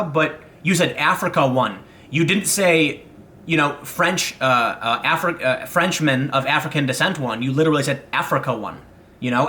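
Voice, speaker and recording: Young American male commentator, bright edge, heated monologue with stammered restarts. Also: on the high side, a little hiss or hum. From United States. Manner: agitated, rapid restarts and stammers. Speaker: political commentator. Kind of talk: opinion monologue.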